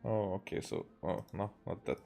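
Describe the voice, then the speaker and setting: A man from Hungary with a Hungarian accent, giving casual, halting gameplay commentary as he streams. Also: low-pitched, expressive, vocal fry, warm tone, soft background music. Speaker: game streamer.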